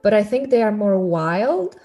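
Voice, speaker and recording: Podcast co-host, woman, measured and reflective, hunts for words. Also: medium pitch, very animated, mellow, soft background music. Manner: thoughtful, searching for words with fillers. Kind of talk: casual chat about music.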